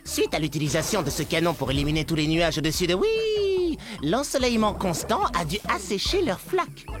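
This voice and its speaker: A woman's voice: lowish voice, very animated, fairly quick. A woman voicing a brainy young science whiz in a cartoon dub, explaining matter-of-factly with dry sarcasm. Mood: lecturing. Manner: matter-of-fact, explanatory, sardonic. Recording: music behind.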